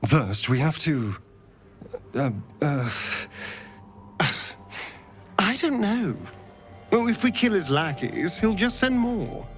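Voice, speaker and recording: An actor playing a haunted, sardonic centuries-old vampire spawn in a fantasy game, speaking with bitter wit and dramatic pauses. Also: male voice, a little high, big pitch swings, faint music behind. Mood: anxious, wary. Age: centuries-old undead. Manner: theatrical, wry, bitter, deliberate with dramatic pauses.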